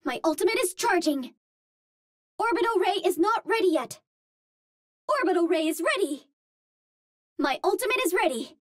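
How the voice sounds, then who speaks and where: A woman's voice: very high-pitched, expressive, moderate pace, pauses often, no room sound, studio quality. A woman voicing a cute, earnest young Martian explorer in a video game, with a light girlish voice and polite, precisely worded phrasing. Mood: alert, businesslike. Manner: formal, precise wording without contractions, earnest and polite. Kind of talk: video game hero callouts.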